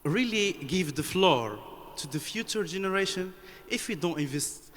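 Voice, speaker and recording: A man from Morocco, a researcher speaking up from the audience at a conference, halting and earnest, repeating words for emphasis. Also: high-pitched, lively intonation, fairly bright tone. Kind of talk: impromptu speech from the floor. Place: conference hall. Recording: long hall reverb, faint room noise.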